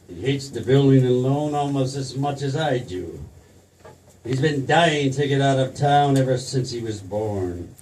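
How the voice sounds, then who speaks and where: An actor in a 1940s-style radio play as a rich, condescending old banker, sly and smug in a deliberate delivery. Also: male voice, mid-range voice, animated, darkish tone, close to an everyday voice. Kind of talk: 1940s radio drama acting. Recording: distant stage mic, faint noise.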